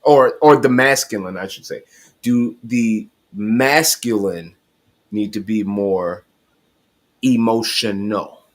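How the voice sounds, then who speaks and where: A man hosting a casual panel talk, putting his thoughts and questions forward in a halting way. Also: fairly low pitch. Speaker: podcast host.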